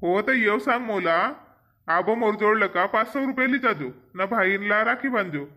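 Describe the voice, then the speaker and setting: A man's voice: high voice, animated, normal speed, pushed and bright. A man voicing a stingy, bossy village husband in a cartoon comedy, with a rural eastern Maharashtra border accent and a sarcastic manner. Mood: sarcastic, refusing. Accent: rural eastern Maharashtra, Balaghat-Gondia border region. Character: stingy, grumbling village husband refusing to pay.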